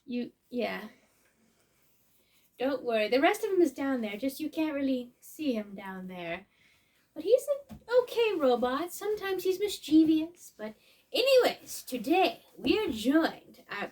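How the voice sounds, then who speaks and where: Woman as an animated, high-voiced silly robot host. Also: measured pace, exaggerated voice. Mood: chatty, playful. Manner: playful, sing-song, exclamatory, talking to small children. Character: chatty, silly female robot hosting story time. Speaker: story-time host. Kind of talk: children's read-along with asides.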